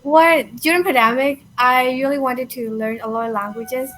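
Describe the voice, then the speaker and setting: A woman's voice: high voice, steady tone, moderate pace, a bit bright, a little hiss or hum, rough recording. A young woman from Myanmar with a Burmese accent, chatting casually with many fillers and restarts. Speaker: language learner guest. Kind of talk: casual conversation.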